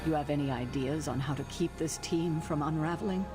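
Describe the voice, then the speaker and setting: An actress playing a stern, distrustful middle-aged guardian of heroes in a video game, speaking formally and with exasperation. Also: female voice, deep voice, brisk, loud music behind the voice, lightly acted. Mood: exasperated, worried. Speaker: leader and guardian of a team of heroes. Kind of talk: dramatic video game acting.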